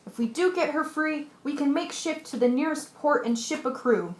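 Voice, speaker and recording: A woman's voice: high-pitched, normal speed, natural voice. A woman playing a sensible, stubborn castaway in an old-fashioned radio adventure play. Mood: insistent. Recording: roomy, echoing recording.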